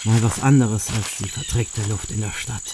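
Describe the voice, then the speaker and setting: A man's voice: fairly low pitch, steady tone, moderate pace, background noise, close to an everyday voice. A man playing an easygoing, teasing young guy, mock-serious and colloquial in a comedic audio drama. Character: easygoing young male driver teasing his camping buddies.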